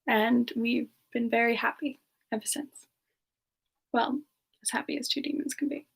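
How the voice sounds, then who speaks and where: A woman playing a gentle, romantic demon woman, soft-spoken and hesitant, answering questions in a semi-ASMR roleplay. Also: fairly high pitch, fairly even intonation, normal speed, vocal fry, husky. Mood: wistful, tender. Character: gentle, romantic female demon reborn from a past life. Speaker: streamer answering viewer questions in character.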